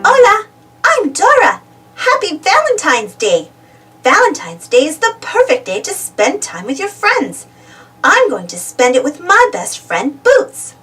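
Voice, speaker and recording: Little girl adventurer, played by a woman: eager, exclamatory, storybook read-aloud, very animated, slow clear questions to the listener. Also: very high-pitched, distant mic, faint music behind, heavily acted. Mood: cheerful, inviting.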